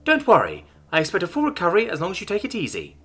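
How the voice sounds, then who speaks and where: Man doing a chirpy high medical robot. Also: huge pitch swings, racing, a bit bright, slightly rough, exaggerated voice. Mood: caring. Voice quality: cheerful, fussing bedside manner. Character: chirpy polite medical android.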